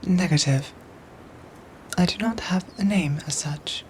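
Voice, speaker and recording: Low, whispery female android soldier, acted by a woman. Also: a little hiss or hum, natural voice, poor audio. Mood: formal, matter-of-fact. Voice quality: soft, breathy, whispery. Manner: measured, formal, robotic phrasing with careful pauses. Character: calm, protective female android soldier, formal and gentle. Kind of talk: ASMR audio roleplay acting.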